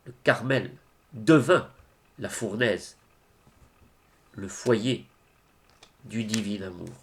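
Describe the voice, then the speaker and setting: Slow, expressive man reciting devotional poetry. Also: medium pitch. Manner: deliberate, declamatory phrasing with pauses.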